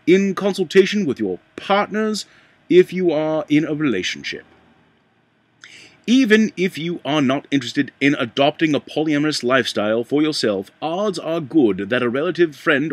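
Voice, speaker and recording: A man reading a nonfiction book aloud in a put-on accent, halting at times and correcting himself as he stumbles over words. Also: fairly high pitch, husky, dry recording. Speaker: amateur book reader.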